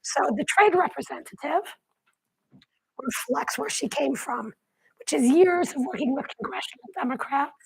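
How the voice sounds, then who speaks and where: A woman on a panel, hoarse and half-whispering through a cold, coughing and clearing her throat between phrases. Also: high-pitched, animated, normal speed, no room sound, poor audio. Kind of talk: panel talk.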